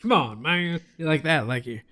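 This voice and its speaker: A middle-aged man co-hosting a comedy podcast, chatting casually with jokey, teasing asides delivered deadpan. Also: fairly high pitch, normal speed. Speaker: podcast co-host.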